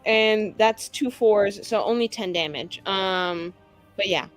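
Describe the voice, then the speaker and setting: Slow-talking playful woman player. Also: mid-range voice, faint music behind. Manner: playful, hesitant while checking rules. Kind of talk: casual tabletop play.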